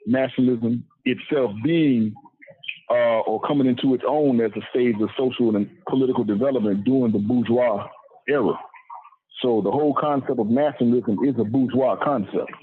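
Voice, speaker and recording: A man's voice: normal speed, slightly rough. A man speaking off the cuff as a political lecturer, explaining in a didactic way and repeating listed phrases for emphasis. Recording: muffled low-fidelity radio-like audio.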